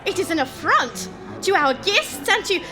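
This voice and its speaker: A woman's voice: very high-pitched, very animated, normal speed, music in the background. A woman playing a prim, indignant alien society hostess in a comic sci-fi audio drama, haughty and formal. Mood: outraged.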